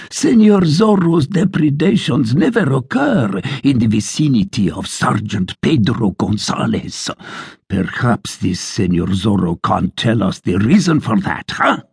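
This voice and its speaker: A blustering storybook sergeant, as a male reader puts him on, bellowing and mocking. The voice is gravelly and husky, leaping high on scornful words. Close and dry. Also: darkish tone, no room sound, full character voice. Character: blustering big male soldier sergeant, loud.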